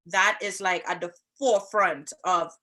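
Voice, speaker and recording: A woman from Trinidad with a Trinidadian accent, co-hosting a casual chat with her husband. She tells stories with laughs and slows down to explain things. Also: on the low side, steady tone, pushed and bright. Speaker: co-host, married couple's channel.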